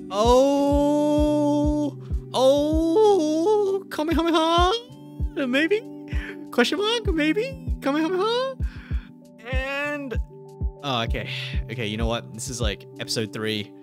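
A young man hosting a gaming channel, commentating live on a mobile game as he plays, excitable and jokey, thinking aloud with sudden high yelps. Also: drawn-out words. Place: small room at a desk. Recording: game music under the voice.

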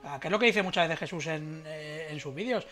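Español de voz aguda, rápida y brillante. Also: male voice, on the high side, brisk, pushed and bright, faint music behind. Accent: Spain. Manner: colloquial, blunt. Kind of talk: casual livestream tech commentary. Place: indoors at home, video call.

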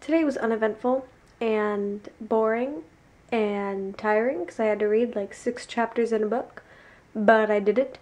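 A woman's voice: a little high, darkish tone. A young woman chatting casually into a handheld camera, giggly and rambling. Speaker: vlogger. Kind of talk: casual vlog chat. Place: school halls, outdoors and home bathroom. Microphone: handheld camera at arm's length.

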